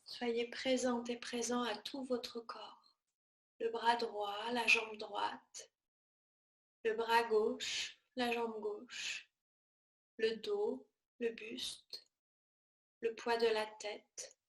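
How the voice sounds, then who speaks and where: A woman teaching yoga nidra, guiding a relaxation meditation in a soothing, measured manner with short, spaced-out phrases. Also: fairly high pitch, flat delivery, echoey room, low-quality recording.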